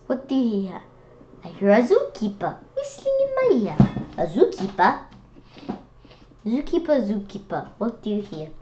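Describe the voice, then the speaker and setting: Woman doing a kids read-aloud, playful and super lively pitch, kinda muffled lo-fi audio. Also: a little hiss or hum. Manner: expressive, playful, halting at times on words. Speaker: children's read-aloud host. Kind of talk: animated picture-book read-aloud with playful asides. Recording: dull, lo-fi sound.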